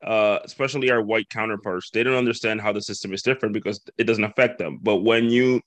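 A lively host from the Dominican Republic chatting on a dry, close mic with no room sound. His speech runs in loose, run-on thoughts, and he lifts his pitch to act out other people's voices. He sounds casual and animated, like in a laid-back interview. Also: fast talker, no room sound. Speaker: podcast host.

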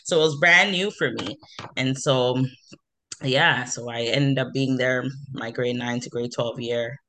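A woman's voice: rumbling low, fairly even intonation, measured pace, bright, forceful tone. A woman from Toronto, a hairstylist chatting as an interview guest, with many fillers and easy laughs. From Toronto, Canada. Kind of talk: casual interview chat.

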